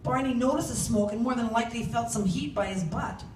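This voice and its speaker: Female author reading own novel, higher-pitched, animated storyteller, recorded at a distance in an echoey room. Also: brisk. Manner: animated, acts out shouted dialogue. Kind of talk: dramatic first-person story reading. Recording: roomy, distant mic, faint background noise.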